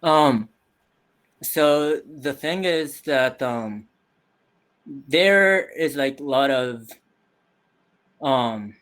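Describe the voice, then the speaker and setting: A young man from Japan talks slowly on a video call, halting often with fillers and restarting his sentences. His pitch sits in an ordinary middle range. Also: animated, a bit bright. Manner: hesitant, many fillers and restarts. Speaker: youth participant. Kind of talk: casual video call chat.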